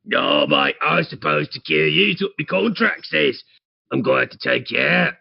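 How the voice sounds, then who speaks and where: A man voicing a drunken hired thug in a tabletop game, gravelly and slurred with broken grammar. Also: on the high side, sing-song, moderate pace, highs cut off, full character voice. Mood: drunken, argumentative. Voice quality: gravelly, husky. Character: drunken male thug with a hired-killer contract.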